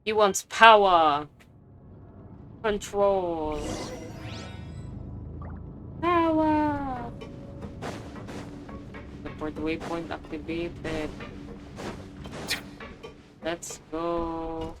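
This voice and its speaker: A woman's voice: lowish voice, extremely slow, long pauses. A woman giving casual, slangy, playful commentary as she plays a video game. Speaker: game streamer. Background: game music.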